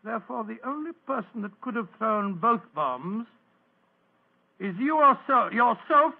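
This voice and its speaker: An actor playing an earnest, fussy English doctor in an old radio drama, speaking formally and hesitantly with an English accent. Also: male voice, very high-pitched, expressive, a little slow, gravelly, full character voice. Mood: solemn. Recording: muffled narrowband old radio recording.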